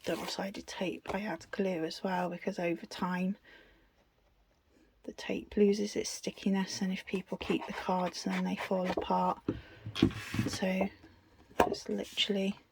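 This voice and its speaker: Even-toned British woman, chatty crafter. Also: mid-range voice, measured pace, vocal fry, noisy background. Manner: chatty, self-deprecating. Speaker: craft video host. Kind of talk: casual unscripted craft tutorial.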